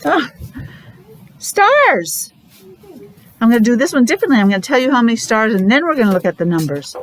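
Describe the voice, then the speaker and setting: A woman's voice: fairly high pitch, very animated, poor audio. A woman preschool teacher leading a counting lesson for young children, encouraging and asking lots of questions. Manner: encouraging, asks questions with pauses.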